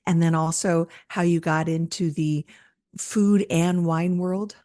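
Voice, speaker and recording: A woman's voice: on the low side, fairly even intonation, takes it slowly, dark tone, dry recording, clean studio sound. A woman co-hosting a podcast, reading a scripted welcome and then asking her guest questions. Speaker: podcast co-host.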